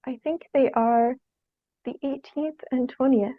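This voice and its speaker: A woman's voice: sits high, steady tone, unhurried, no room sound, phone-quality sound. A young woman, answering interview questions casually in a hesitant, self-effacing way. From Ontario, Canada. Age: young adult. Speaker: interview guest, theatre artist.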